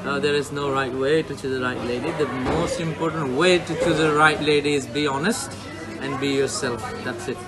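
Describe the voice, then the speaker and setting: A man's voice: medium pitch, fairly even intonation, normal speed, loud music behind the voice. A man from Bangladesh in his thirties, answering interview questions casually, hesitant with many fillers, seated indoors by a brick wall. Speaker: interviewee, client.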